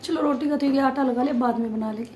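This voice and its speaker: A woman vlogger chatting casually to viewers, her voice hoarse and nearly gone, pushing hard to get the words out. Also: sits high, quick pace, warm tone, slight background noise. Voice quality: strained, forced voice.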